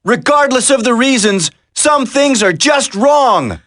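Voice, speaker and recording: Game hero: determined young agent, dramatic, lively intonation, unhurried delivery, close dry sound. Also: male voice, very high-pitched, no echo, full character voice. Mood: firm, earnest. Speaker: voice actor in a video game. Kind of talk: video game dialogue acting.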